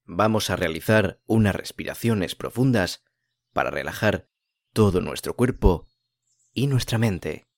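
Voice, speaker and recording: A man with a low voice leads a guided meditation in a soothing, unhurried manner. He leaves long pauses between phrases. The sound is close and dry, with no room around it. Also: lively intonation, no room sound. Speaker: podcast host and meditation guide.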